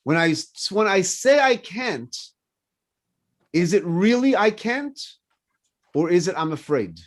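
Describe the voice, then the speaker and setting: A man in his fifties whose first language is French gives a morning class. His voice rides a little high and swings up and down, and he works through personal stories and questions he asks back to his listeners. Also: slow. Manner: animated teaching with personal stories and repeated questions. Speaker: rabbi.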